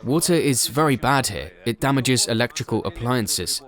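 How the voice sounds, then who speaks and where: A man's voice: medium pitch, lively intonation, normal speed, fairly bright tone. Man narrating a documentary voice-over.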